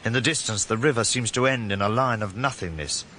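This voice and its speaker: A man's voice: lowish voice, fairly quick, sharp tone, a little hiss or hum. An actor playing a vengeful working-class man with a London accent, intense and poetic, in a first-person radio drama. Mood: grim narration. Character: brooding, vengeful working-class man narrating his own revenge. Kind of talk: first-person dramatic narration with heated dialogue.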